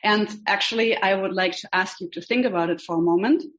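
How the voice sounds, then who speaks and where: A woman's voice: mid-range voice, a little fast, a bit bright. A woman from Germany with a German accent, a rabbinical student teaching an online class, hesitant and full of ums. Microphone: video call. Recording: dull lo-fi video call audio.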